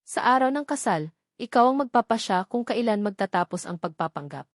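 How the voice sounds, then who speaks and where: A woman's voice: medium pitch, expressive, no room sound, studio quality, natural voice. Woman voicing a stern, commanding old grandmother. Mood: firm, cautioning. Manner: hard, commanding, measured. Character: stern, powerful old wealthy grandmother, unyielding.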